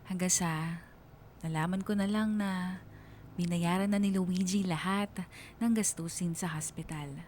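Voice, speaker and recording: A woman's voice: fairly low pitch, steady tone, measured pace, long pauses, a little mellow, slight background noise, lightly acted. A woman playing a young student who earnestly and remorsefully narrates her love story in a confessional radio drama style. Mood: troubled, recounting. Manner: reflective, confessional.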